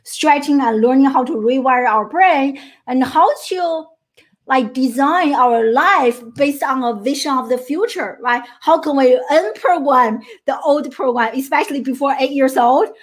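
Earnest high-voiced woman with a Chinese accent. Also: a little slow. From China. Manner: earnest, many restarts and "right?" tags. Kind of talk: motivational talk.

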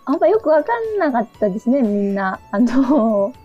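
A woman's voice: on the high side, very animated, normal speed, lo-fi sound. A woman co-hosting a casual radio chat, giving candid opinions in a hesitant way. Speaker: radio show co-host. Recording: music playing behind the talk. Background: background music.

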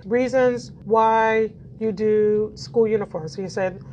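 A woman's voice: medium pitch, fairly even intonation, takes it slowly, warm tone. Woman teacher host, casual explainer, muffled audio, faint hiss. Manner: explanatory, asks questions, uses "like" and "right?". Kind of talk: casual podcast interview.

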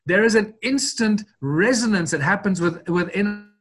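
A man's voice: high-pitched, normal speed, a bit bright, husky. A man guest in an interview, speaking earnestly and expansively, often restarting and repeating words. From Southern Africa. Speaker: interview guest, researcher of ancient ruins. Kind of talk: long-form podcast interview.

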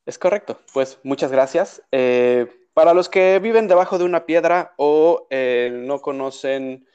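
A young man hosts a relaxed interview in a Mexico City accent. His voice sits at an ordinary middle pitch, and he talks in a chatty way, full of fillers and small restarts. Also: clear, resonant tone, muffled recording. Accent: Mexico City Spanish. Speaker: podcast host. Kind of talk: casual interview.

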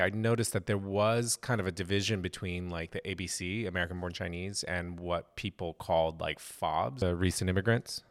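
A man with a very low voice hosts a conversational interview. He speaks in a measured, thoughtful way and lays out his points steadily. The tone stays even, like a relaxed discussion. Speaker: interviewer, host.

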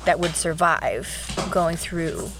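A young woman with a low voice co-hosting a podcast, chatting casually and rambling with many restarts. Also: takes it slowly, background noise. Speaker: podcast co-host. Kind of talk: casual chat.

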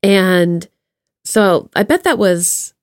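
A woman's voice: on the low side, animated, drawn-out words. Playful woman hosting a casual podcast. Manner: playful, jokey. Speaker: podcast host. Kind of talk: casual chat, asking questions.

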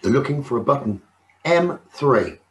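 Male meditation teacher, soothing and unhurried, mid-pitched, leaving space between phrases. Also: expressive, rough recording. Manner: soothing, unhurried, with frequent pauses. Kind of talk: guided meditation narration.

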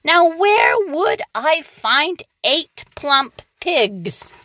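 A grouchy, ravenous old storybook witch, as a grandmother puts her on, screeching high and shrill. Her voice leaps wildly up and down as she plots and cackles. The sound is close and dry. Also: wildly swooping pitch, extremely slow, pushed and bright, no room sound, full character voice. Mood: scheming.

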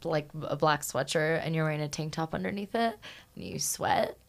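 Gravelly low-voiced woman bassist. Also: moderate pace. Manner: chatty, jokey asides. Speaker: band bassist and songwriter. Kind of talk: casual interview chat.

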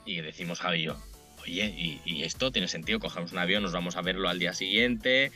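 A man's voice: low voice, a little fast, sharp tone, faint music behind. A man in his late thirties with a Madrid Spanish accent, talking informally and conversationally as an interview guest. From Madrid, Spain. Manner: informal, colloquial. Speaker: podcast guest, entrepreneur.

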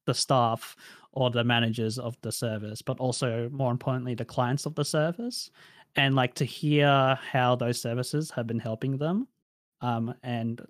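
A man's voice: medium pitch, no echo. Reflective Australian man, dry sound. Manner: reflective, many fillers like "like" and "um". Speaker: interviewee. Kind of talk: casual interview answers.

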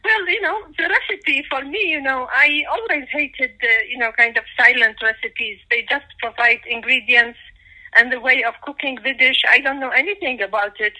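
Older woman from Iraq, interview guest over a phone line; bright, halting delivery. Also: sits high. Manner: hesitant, many fillers and restarts. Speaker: guest, cookbook author. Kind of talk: casual interview talk.

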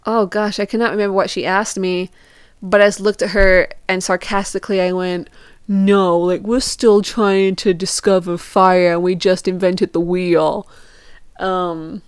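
A woman's voice: mid-range voice, level tone, unhurried, clean tone, no echo, studio quality. A young woman telling personal stories in a casual, chatty way, with informal phrasing and quoted bits of conversation. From Portugal and England. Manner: informal, peppered with "like", acts out quoted questions.

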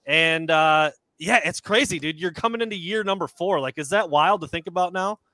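A man hosting a casual sports podcast, talking upbeat and friendly. His voice is fairly high and bright, and it sounds close and dry, with no room around it. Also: normal speed, dry recording. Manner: upbeat, friendly, calls people dude. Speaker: podcast host.

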